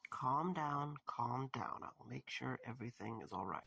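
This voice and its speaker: A man acting a tired young night guard in a radio play. His voice is heavily creaky and husky, with a patient but hesitant way of speaking. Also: mid-range voice, normal speed, sharp tone, exaggerated voice. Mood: placating. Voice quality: gravelly, husky. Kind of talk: audio drama acting.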